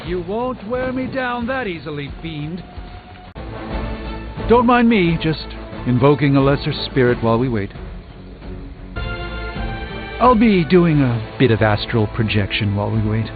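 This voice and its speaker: An actor playing a pompous, learned sorcerer in a video game, speaking in a grandiose, lecturing way. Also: male voice, a little high, very animated, measured pace, long pauses. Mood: grave, then idle, dry. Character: pompous, theatrical, learned male sorcerer and mystic. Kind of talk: theatrical video game dialogue. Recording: thin phone-like band, music behind.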